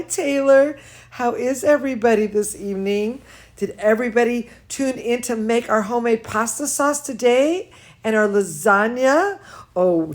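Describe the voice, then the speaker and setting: A woman hosting a children's bedtime story livestream, gushing and exclaiming as she chats to young viewers between bits of reading. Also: fairly high pitch. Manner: gushing, exclamatory, addresses children and pet directly. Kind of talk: storybook read-aloud mixed with chatty asides to young viewers.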